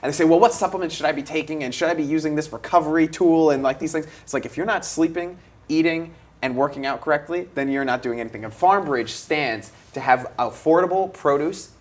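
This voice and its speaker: A man co-hosting an online pitch show, talking with enthusiasm and restarting with fillers. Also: a little fast. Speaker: co-host. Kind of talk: casual chat.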